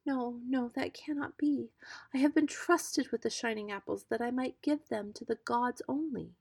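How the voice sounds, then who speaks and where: Woman reading a sweet, simple young goddess, soft and pleading, close and dry. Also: fairly high pitch, moderate pace, vocal fry, husky, dry recording, pitched above the performer's own voice.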